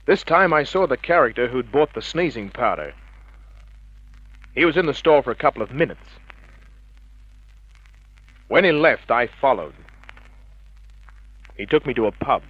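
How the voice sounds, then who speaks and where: A hardboiled private eye in a vintage radio crime play. His voice is bright and forceful, the delivery terse, cocky and slangy, on a dull old recording. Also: medium pitch, animated, normal speed, pauses often, slightly rough, no room sound. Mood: wry, matter-of-fact. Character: tough, wisecracking male private detective.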